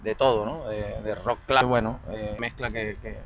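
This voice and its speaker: A man from the Canary Islands, singer and bassist of a band, answering loosely while searching for words. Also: fairly even intonation, normal speed, fairly bright tone. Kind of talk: casual interview answers.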